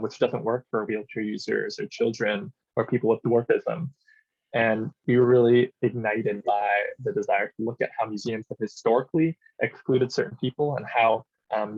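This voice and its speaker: A man's voice: lowish voice, gravelly, lo-fi sound. Man presenting an architecture talk. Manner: measured, explanatory, frequent um fillers. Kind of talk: conference presentation. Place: New York City.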